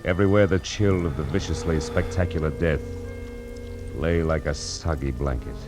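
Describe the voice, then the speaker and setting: A low, hard-boiled detective voice in a 1940s radio play. He is cool-headed and wry, speaking in clipped slang. It is an old radio recording with music behind it. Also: moderate pace. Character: tough, cool-headed male private detective narrating his case. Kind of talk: 1940s radio noir acting and narration.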